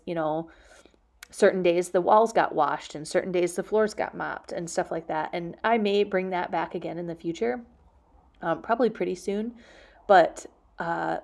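Woman with a lowish voice, speaking candidly. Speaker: homemaking vlogger. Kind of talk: casual question-and-answer voice-over.

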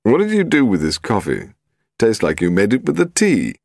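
A man's voice: on the low side, huge pitch swings, normal speed, vocal fry, a little mellow, no echo, voice pushed up, heavily acted. A man voicing a suave husband and amateur sleuth with a dry, teasing manner.

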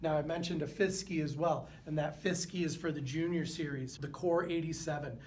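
30s guy doing a product rundown in a showroom, pretty monotone, bit of background hiss. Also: brisk. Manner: steady, informative pitch with a few fillers. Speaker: product manager. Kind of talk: product presentation to camera. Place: indoor showroom with wooden walls.

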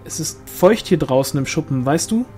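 A man's voice: a little high, animated, moderate pace, mellow, faint music behind, close to an everyday voice. A man voicing a nervous young woman in a storybook, flustered and apologetic.

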